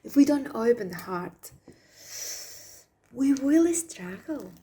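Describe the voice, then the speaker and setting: A woman from Argentina with an Argentine Spanish accent, a Spanish native speaker giving a spiritual message to viewers, speaking softly and dropping into whispers on some words. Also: fairly high pitch, animated. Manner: slow, soft, drops to whispers on some words. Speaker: spiritual channel.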